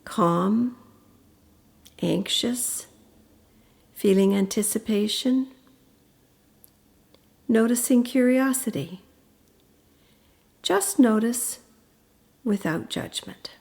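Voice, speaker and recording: A woman narrating a guided meditation in a gentle, soothing, instructive manner with pauses, her voice turning breathy at the ends of phrases. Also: a little high.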